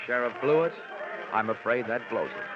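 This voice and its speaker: An actor playing a wry, hard-boiled insurance investigator in 1940s radio style, with deadpan quips. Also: male voice, mid-range voice, normal speed, natural voice. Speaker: lead actor and narrator. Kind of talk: 1940s radio detective acting. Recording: noisy, muffled 1940s radio recording.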